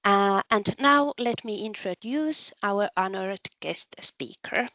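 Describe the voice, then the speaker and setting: A woman hosting a webinar, introducing a guest speaker in a measured, carefully read way with occasional ums. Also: mid-range voice, fairly bright tone, no echo, muffled recording. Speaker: webinar host, moderator. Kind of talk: formal introduction.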